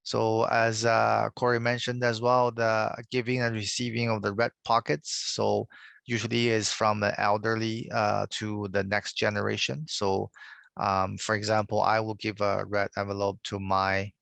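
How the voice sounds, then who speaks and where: A man giving a slide presentation over a video call, halting with many fillers. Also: fairly low pitch, monotone, creaky, fairly bright tone, no room sound. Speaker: guest presenter. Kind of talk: slide presentation on holiday traditions.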